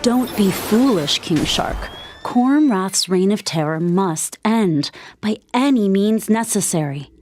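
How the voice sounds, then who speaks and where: A woman's voice: mid-range voice, animated, takes it slowly, a little mellow. An actress playing a fierce, proud widowed warrior queen in a video game, speaking in a commanding, formal, impassioned way. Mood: stern, impassioned. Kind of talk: video game cutscene acting.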